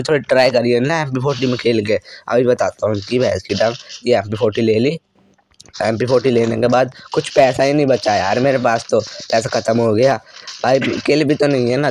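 A young man with a hoarse, worn-out throat, hosting a gaming channel and commenting excitedly on his own match while often clearing his throat. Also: mid-range voice, a little hiss or hum. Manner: excitable, frequent throat clearing, lots of "bhai". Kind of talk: live gameplay commentary.

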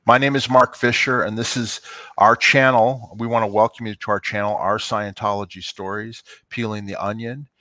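A man's voice: fairly low pitch, fairly even intonation, moderate pace, sharp tone, no room sound. An older man co-hosting a casual video-call chat from his kitchen, talking through a headset with lots of fillers and affirmations. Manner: chatty, affirming, many fillers. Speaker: co-host. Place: home kitchen, indoors.

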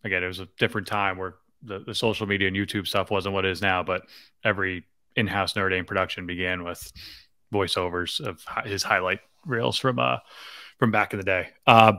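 A man's voice: sits low, fairly even intonation, brisk, pushed and bright, dry recording. A man in his thirties co-hosting a sports podcast, speaking casually into a desk microphone indoors. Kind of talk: casual postgame sports chat.

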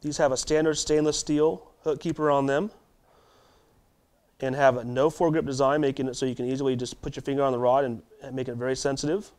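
A man in his thirties hosting a tackle shop video, running through product specs in a steady delivery with small restarts, in a warehouse storeroom. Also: mid-range voice, brisk. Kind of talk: product rundown to camera. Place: warehouse storeroom with shelves of boxes.

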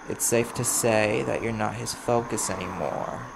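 A man voicing a wary, desperate vampire bounty hunter in an audio roleplay, blunt and guarded, with a gravelly voice. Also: on the low side, measured pace. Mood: cautious, urgent. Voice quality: gravelly, creaky. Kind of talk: ASMR audio roleplay acting. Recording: clear background noise, chirping crickets.

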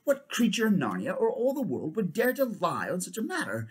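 A man's voice: mid-range voice, expressive, moderate pace, natural voice. A man voicing a fierce young king who gives orders in a raised, commanding voice.

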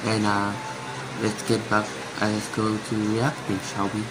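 A young man reacting to an animated series, talking quietly and haltingly in a small room into a phone. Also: heavy background noise. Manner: quiet, halting, low-voiced to avoid waking someone. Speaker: reaction video host. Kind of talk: hushed casual commentary. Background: series audio playing.

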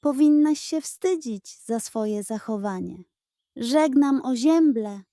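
A woman's voice: animated, takes it slowly, warm tone, no room sound, clean studio sound, full character voice. Woman doing a huffy greedy pug dog. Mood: indignant. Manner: whiny and huffy, high and overexcited. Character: greedy, lazy, indignant male pug dog.

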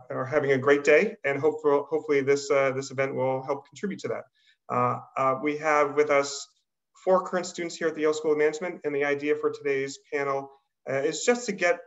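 A middle-aged man hosting an online panel, speaking in a halting, polite, apologetic way over a video call. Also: on the high side, fairly bright tone. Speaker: admissions dean hosting a panel. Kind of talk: hesitant unscripted welcome on a webinar. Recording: slightly dull video-call audio.

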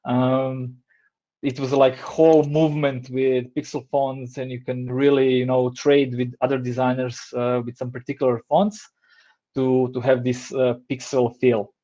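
A man's voice: mid-range voice, unhurried, lo-fi sound. Croatian-accented man on a video call. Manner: relaxed, hesitant with many fillers. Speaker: design lead giving a talk. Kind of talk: online presentation. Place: home room, indoors. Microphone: headset on a video call.

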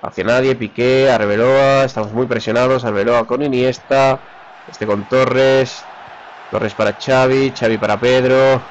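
Man, gamer play-by-play, slightly dull mic, faint hiss. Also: mid-range voice. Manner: excited, rapid name-calling of players. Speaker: gamer commentating his own match. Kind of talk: live play-by-play commentary.

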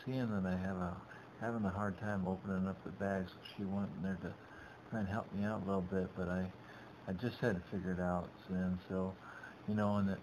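A middle-aged man narrating his shopping trip in a halting, rambling way, full of restarts, over a webcam. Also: deep voice, level tone. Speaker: vlogger. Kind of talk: casual narrated shopping vlog. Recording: dull lo-fi webcam audio with background noise.

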